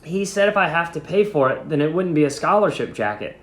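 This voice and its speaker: A man's voice: on the high side, big pitch swings, brisk. A man voicing an earnest, emotional schoolgirl in a storybook reading, eager in manner. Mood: hurt, defiant. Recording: echoey room.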